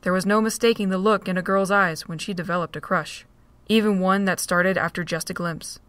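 A woman narrating a fan audio drama with a steady storytelling read. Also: mid-range voice, a little fast, dry recording. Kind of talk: scripted narration.

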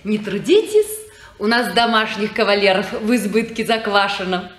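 An actress playing a spirited, mocking young daughter in an old radio play. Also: female voice, mid-range voice, lively intonation, moderate pace, close to an everyday voice. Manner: mocking, playful. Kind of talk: 1950s radio play acting. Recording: echoey old studio recording from off-mic.

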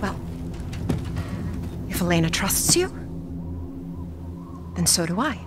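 A woman playing a kindly, authoritative village matriarch in a video game, gently commanding. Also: fairly low pitch, sing-song, takes it slowly, over loud music. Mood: composed, welcoming.

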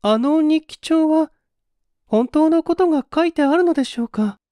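A male reader puts on a demure young daughter in an old mystery story. The voice is very high and mellow, recorded dry. She speaks softly and formally, with gentle, polite phrasing. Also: normal speed, dry recording. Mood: hesitant, questioning. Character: polite, grateful young woman, devoted daughter, gently inquisitive.

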